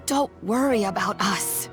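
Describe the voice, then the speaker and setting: A woman playing a gentle, understanding old granny in a video game dub. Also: medium pitch, slow pace, close to an everyday voice. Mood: gentle, weary. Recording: game music behind voice.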